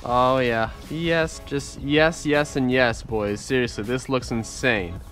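Congested, excited young man. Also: mid-range voice, slow, faint music behind. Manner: excited, chatty, addresses viewers directly. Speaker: car vlogger. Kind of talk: casual point-of-view vlog. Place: outdoors in a driveway, working in a car. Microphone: head-mounted action camera.

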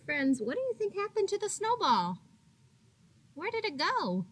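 A woman in her thirties, a teacher reading a picture book aloud to young children in a deliberate, expressive way with drawn-out words. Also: very high-pitched. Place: small indoor classroom. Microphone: laptop or webcam at arm's length.

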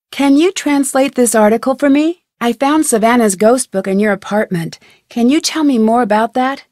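A woman's voice: on the high side, dry recording, clean studio sound. A woman playing an inquisitive, friendly young female detective in a video game, speaking in an earnest, conversational way. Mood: friendly, curious. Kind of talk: scripted video game dialogue.

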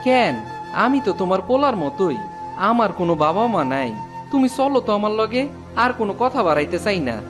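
A man's voice: sits high, big pitch swings, brisk. A man voicing a kind, helpful village woodcutter in a cartoon, earnest and folksy, with a rural Bangladeshi accent. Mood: kindly, insistent. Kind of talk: cartoon storytelling dub. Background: prominent music.

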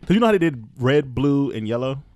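A man's voice: medium pitch, sing-song, brisk, a crowd in the background. A man in casual podcast banter, talking in a slangy, profane, emphatic way into a close microphone. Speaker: podcast host. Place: small room at home, around a table.

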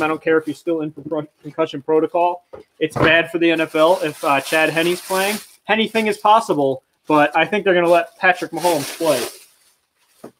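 A man's voice: a little high, fairly bright tone, a little hiss or hum. A man in his thirties hosting a casual live auction stream from a small storage room, chatting and reading viewer comments aloud as he moves around, sometimes stepping away from the webcam mic. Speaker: auction livestream host. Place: small storage room full of shelves, indoors. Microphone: webcam mic at desk, sometimes farther away.

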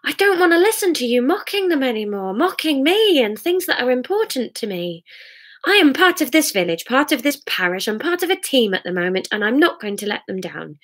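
An exasperated woman acted out by a female reader in an audiobook row with her partner. Her pitch rides up and she is heated and sarcastic. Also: a bit bright, heavily acted.

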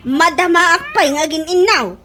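A woman's voice: very high voice, lively intonation, moderate pace, pushed and bright, full character voice. A woman playing a nagging, exasperated wife in an Ilocano radio comedy drama, with exclamatory delivery and an Ilocano accent. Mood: scolding. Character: scolding, exasperated wife and gossiping neighbour woman.

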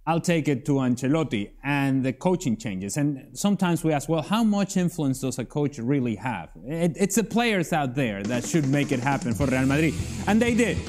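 Measured man analyzing football in a studio. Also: fairly high pitch, big pitch swings, a little mellow, faint music behind. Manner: measured, explanatory.

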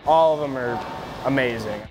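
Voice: mid-range voice, moderate pace, music in the background. A young adult welding student, easygoing and eager about the program. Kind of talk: promotional interview. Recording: noisy workshop background.

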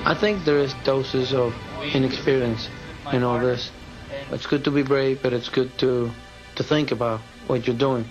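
A man giving a measured comment as an interviewee. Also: medium pitch, fairly even intonation. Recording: muffled old TV tape. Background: music.

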